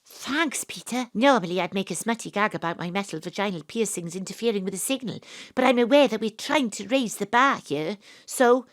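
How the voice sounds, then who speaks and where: An actress with a Welsh accent playing a plucky, curious, cheeky time traveller in an audio drama, expressive and questioning. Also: female voice, medium pitch, brisk, hardly any pauses, no echo, clean studio sound, natural voice.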